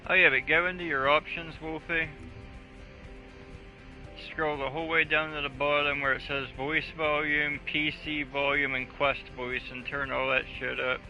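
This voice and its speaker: A man's voice: on the high side, flat delivery, normal speed, pushed and bright. A man chatting casually on a gaming live stream in a crude, profane, joking way. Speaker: video game live streamer. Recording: dull lo-fi audio, faint music.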